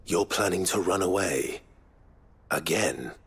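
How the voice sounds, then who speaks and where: Deep, brooding British hero. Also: male voice, takes it slowly, vocal fry, husky, heavily acted. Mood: dry. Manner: grave, understated, dry. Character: brooding, dry-witted adult male fantasy hero. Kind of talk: video game voice acting.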